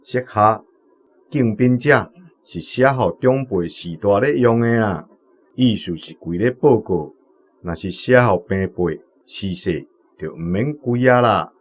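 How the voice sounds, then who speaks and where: A man's voice: lowish voice, expressive, a little slow, breathy edge. A man playing a jovial older village letter-writer and kindly teacher in a radio drama, with a Taiwanese accent. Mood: kindly explaining. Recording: dull band-limited sound, music behind.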